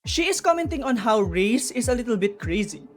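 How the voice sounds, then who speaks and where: A woman's voice: on the high side, big pitch swings, a little fast. A woman giving an informal explainer commentary, chatty and speculative, talking straight to viewers. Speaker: video narrator explaining game lore. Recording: music under the voice. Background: background music.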